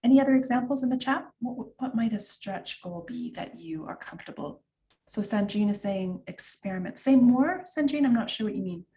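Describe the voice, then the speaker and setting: A woman's voice: medium pitch, expressive, a little fast, mellow. Canadian woman, middle-aged, workshop facilitator, chatty with fillers, video-call audio. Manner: chatty, many fillers and asides to the chat. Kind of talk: online workshop teaching.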